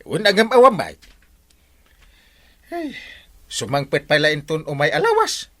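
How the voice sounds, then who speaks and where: A man's voice: a little high, huge pitch swings, measured pace, fairly bright tone, exaggerated voice. A man playing a boisterous, comical village captain in an Ilocano radio comedy, with stammering, exclamations and cackles. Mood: teasing. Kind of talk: comedic radio drama acting.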